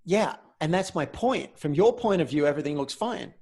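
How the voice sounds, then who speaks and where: A man speaking as a coach in a conversational roleplay, measured and deliberate, often pausing mid-phrase. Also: on the high side, expressive, a little mellow, breathy edge. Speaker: host, communication coach.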